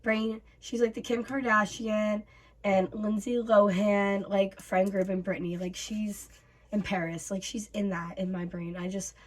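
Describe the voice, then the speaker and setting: A woman in her twenties hosting a reaction vlog, voice raspy from bronchitis, chatting casually with many fillers. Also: mid-range voice, flat delivery, a little slow. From North America. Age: 20s. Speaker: YouTube host.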